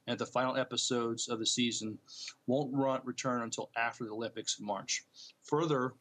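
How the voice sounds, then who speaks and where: A man co-hosting a podcast, reading and discussing entertainment news in a plain-spoken, slightly halting delivery. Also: medium pitch, fairly bright tone. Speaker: podcast co-host.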